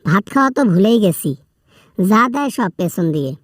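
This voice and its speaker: A woman's voice: medium pitch, animated, a little fast, no echo, clean studio sound, lightly acted. A woman with a Bangladeshi accent playing a whining male prisoner in a comic phone skit, pleading and repeating words in agitation. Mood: flustered, complaining. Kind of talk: comic prank-style phone call skit.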